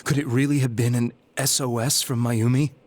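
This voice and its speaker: An actor playing a despondent, guilt-ridden young man in a video game, telling his story in a grave, halting way. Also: mid-range voice, flat delivery, darkish tone, lightly acted. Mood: grave, subdued. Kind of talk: dramatic video game voice acting, confessional monologue. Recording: background music under the voice.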